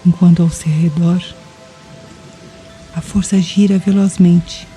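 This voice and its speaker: A Brazilian woman narrating a guided meditation in a deliberate, soothing way, leaving pauses between phrases. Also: lowish voice, fairly even intonation, mellow. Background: music with rain and thunder sounds.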